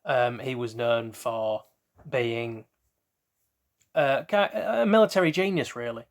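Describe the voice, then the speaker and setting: Slow, rambling male quiz host. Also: medium pitch. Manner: reads questions, then rambles loosely with hesitant asides. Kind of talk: casual trivia quiz narration.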